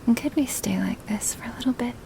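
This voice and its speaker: A woman voicing a gentle, affectionate dragon woman in an intimate ASMR roleplay, breathy and hesitant, often slipping into whispers. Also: on the high side, noisy background. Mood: tender, happy. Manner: slow, hesitant, with sighs and pauses. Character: gentle dragon woman, awkward, affectionate toward her human. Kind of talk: ASMR roleplay, spoken softly and intimately to the listener.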